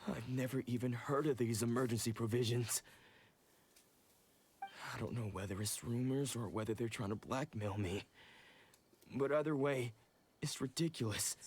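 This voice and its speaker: A man's voice: medium pitch, normal speed, pauses often, darkish tone, a little hiss or hum, close to an everyday voice. A man playing an aggrieved, defensive samurai who is down on his luck in a video game. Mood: defensive, weary. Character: defensive, hard-up samurai protesting his innocence. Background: game music.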